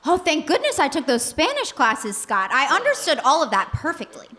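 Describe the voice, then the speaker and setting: A woman's voice: high-pitched, wildly swooping pitch, moderate pace, bright, forceful tone, full character voice. A woman playing a chirpy, gung-ho patriotic housewife heroine in a comedic live radio play, with exaggerated, perky delivery. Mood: cheerful. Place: small comedy theater, performed live. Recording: live theater stage, some background noise.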